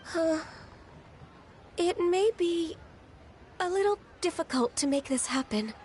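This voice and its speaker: A high-voiced young woman official, gentle and diligent. She speaks formally and carefully as a game character, with faint ambience behind. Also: lively intonation, a little hiss or hum, full character voice. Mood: hesitant, serious. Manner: polite, measured, careful. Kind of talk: video game voice acting.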